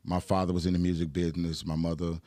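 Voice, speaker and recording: A man's voice: low voice, little pitch movement, fast, dark tone, no room sound, studio quality. A man in his thirties with an Atlanta accent, telling stories as a guest in a casual podcast interview, laid-back with lots of fillers. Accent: Southern US, Atlanta. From Atlanta, Georgia. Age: 30s. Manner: laid-back storytelling with frequent fillers and laughs. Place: podcast studio.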